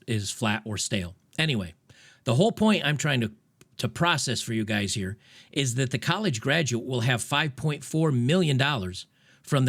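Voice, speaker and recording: A man talks through a casual commentary monologue at an ordinary, middle pitch. He is emphatic and rambling, often restarting his sentences as he pushes his point. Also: fairly bright tone. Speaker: podcast host.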